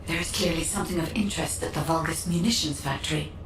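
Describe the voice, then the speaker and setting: A woman playing a composed, precise and slightly haughty AI guide in a video game, speaking formally and matter-of-factly. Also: deep voice. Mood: composed, informative. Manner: formal, measured, matter-of-fact. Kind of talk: video game scripted dialogue. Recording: roomy, distant, faint noise.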